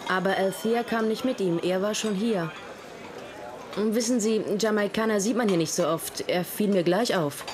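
Female radio-drama role: streetwise, wry witness, played by an actress, medium pitch, clear ambient noise. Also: moderate pace, lightly acted. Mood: wry, frank. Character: wry, frank, streetwise woman in a nightspot. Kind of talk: German radio play dialogue.

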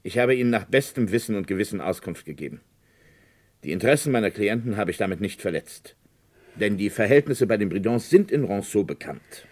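An actor playing a village lawyer in a German radio crime drama, speaking in a formal, deliberate, hesitating and discreetly insinuating way. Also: male voice, lowish voice, lively intonation, a little mellow. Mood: defensive, firm.

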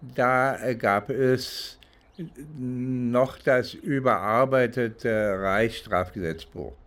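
An older man from East Germany recounting his legal experience reflectively, halting and pausing between phrases. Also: medium pitch, a bit bright. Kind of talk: reflective spoken recollection, interview-like.

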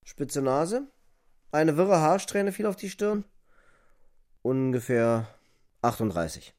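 A man giving expressive, scripted literary narration in a radio drama. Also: a little high, takes it slowly, dry recording, studio quality.

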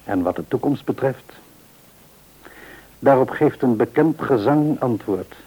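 An actor playing a devout, reassuring middle-aged father in a radio play, speaking in a measured, earnest, counselling way. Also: medium pitch, lively intonation, warm tone, low-quality recording. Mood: earnest, grateful. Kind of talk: mid-century radio play acting.

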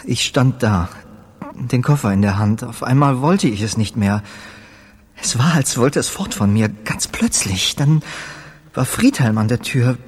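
An actor in a German radio drama playing a guilt-ridden, devout and unhinged musician who speaks in a halting, repetitive murmur. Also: male voice, mid-range voice, lively intonation, breathy edge. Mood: confessing, dazed. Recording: roomy space with long reverb.

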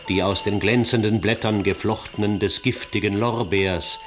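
An actor playing a solemn chorus narrator of elders in a classical tragedy radio play, declaiming verse gravely. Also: male voice, lowish voice, fairly even intonation, moderate pace, lightly acted. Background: music.

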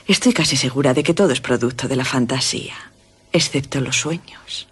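An enigmatic young woman with a sinister languor, in the style of a Gothic radio play. Her Spain-accented voice is husky and breathy, soft and insinuating. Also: sits low. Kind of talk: Gothic radio drama acting.

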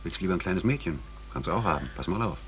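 An actor playing a slick, boastful sound technician in conversational radio drama dialogue. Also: male voice, low-pitched, expressive, racing, slight background noise. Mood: breezy, boastful. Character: slick, boastful male sound technician showing off his machine.

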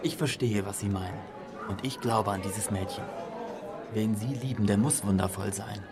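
Actor as gentle, earnest artist, fairly low voice. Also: male voice, moderate pace, crowd noise, natural voice. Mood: gentle, subdued. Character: gentle, devoted artist friend, earnest and melancholy. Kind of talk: German radio play acting. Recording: clear music behind the voice.

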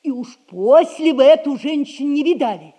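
A woman's voice: high-pitched, moderate pace, dark tone, lightly acted. An actress playing an inquisitive common woman in a theatrical radio comedy, speaking in a coaxing, deferential way.

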